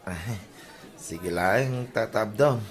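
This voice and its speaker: An actor with an Ilocano Filipino accent playing a bashful husband who gets drunk and silly, in a radio comedy drama. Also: male voice, fairly low pitch, takes it slowly, some chatter behind. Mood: shy, polite.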